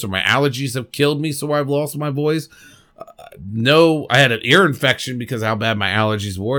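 A man hosting a solo podcast at his desk microphone, with a voice of ordinary pitch for a man. He talks in a rambling, candid way, full of passion and drifting from thought to thought. He swears casually along the way. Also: fairly bright tone. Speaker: podcast host. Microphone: desk microphone at a computer.

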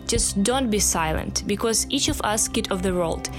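A woman's voice: medium pitch, animated, moderate pace. A young woman from Ukraine with a Ukrainian accent, making a personal spoken appeal. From Ternopil, Ukraine. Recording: clear music behind the voice.

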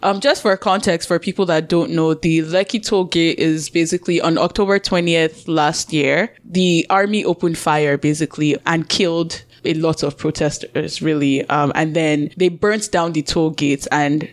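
A young woman from Nigeria co-hosting a podcast, talking in a relaxed way with "um" and "like" fillers. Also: low voice, moderate pace, few pauses. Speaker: podcast co-host. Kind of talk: casual chat.

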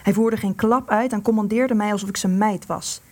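A woman's voice: medium pitch, steady tone, normal speed, darkish tone, close to an everyday voice. A woman playing an anxious, resentful wife in wartime hiding in a Dutch radio play, grave and disillusioned. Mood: scornful.

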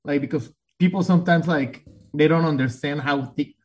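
A man's voice: on the high side, a little mellow. Mexican-accented man, upbeat podcast guest. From southern Mexico. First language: Spanish. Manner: upbeat, quick to agree. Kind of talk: casual interview chat.

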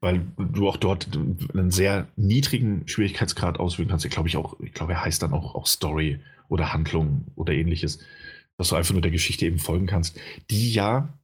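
A man's voice: sits low, fairly quick, breathy edge. A man chatting casually about a game he is playing, rambling with many fillers and restarts. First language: German. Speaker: game reviewer. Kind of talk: casual podcast chat.

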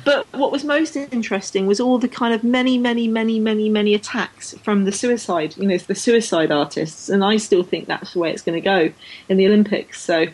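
A woman's voice: medium pitch, a little fast, a little mellow, rough recording. A woman co-hosting a sports podcast in casual, enthusiastic chat, giggly and often repeating herself as she goes. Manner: enthusiastic, giggly, stumbling repetitions. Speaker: cycling podcast co-host.

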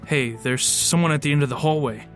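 A man's voice: a little high, brisk, faint music behind, natural voice. A man playing an anxious, exasperated hotel guest in an audio drama, earnest and scolding. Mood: concerned.

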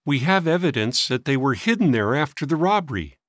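Man voicing an earnest, polite teenage boy, pitched up. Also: sing-song, a little fast, fairly bright tone, no echo, clean studio sound. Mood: hopeful. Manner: polite, respectful, confident. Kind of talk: audiobook character voice.

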